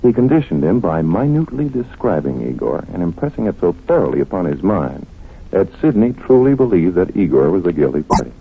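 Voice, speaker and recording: An actor playing a confident, analytical detective in an old radio drama, explaining things with teasing, affectionate asides. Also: male voice, low voice, big pitch swings, fairly quick, gravelly, breathy edge, full character voice. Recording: muffled vintage radio recording.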